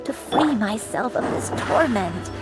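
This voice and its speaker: A woman voicing a frail, gentle old grandmother in a video game, weary and wistful in a halting way. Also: mid-range voice, animated, loud music behind the voice, natural voice.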